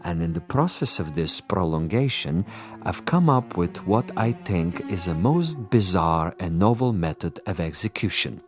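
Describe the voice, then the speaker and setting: An actor playing a suave, boastful assassin commissar in a radio drama, with pompous, precise and silkily polite diction. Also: male voice, sits low, expressive, measured pace, over music. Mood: smug, menacing. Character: suave, boastful male assassin commissar, coolly menacing connoisseur.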